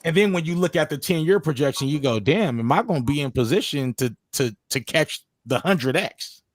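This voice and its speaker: A man's voice: fairly high pitch, expressive, moderate pace, no room sound. Slangy man in his thirties, dry sound. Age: 30s. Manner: profane, slangy, steering the talk with questions. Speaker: livestream host. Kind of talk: casual chat. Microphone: distorted mic at times.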